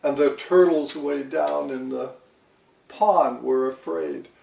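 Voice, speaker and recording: Older male storybook reader: expressive, deliberate delivery, high register, slightly off mic. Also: gravelly, roomy sound, recorded from a distance, low-quality recording. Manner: dramatic, deliberate. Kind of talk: expressive children's storybook reading.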